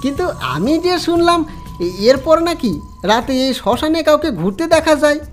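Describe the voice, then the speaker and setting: A man voicing a gossiping village man in a horror audio story, animated and full of questions. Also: extremely high pitch, moderate pace, faint music behind, voice pushed up. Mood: gossipy disbelief.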